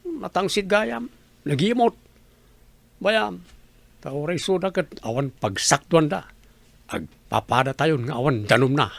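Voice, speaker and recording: A man's voice: fairly high pitch, expressive, measured pace, creaky, long pauses, no echo, heavily acted. A man playing a sly, bickering village husband with an Ilocano accent in a comedy radio drama.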